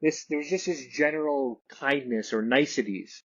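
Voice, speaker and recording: A man's voice: mid-range voice, normal speed, husky, no echo, dull audio. A man speaking as a guest in an interview, hesitant and often restarting his sentences. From Canada. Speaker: guest, realtor and investor. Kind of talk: podcast interview conversation.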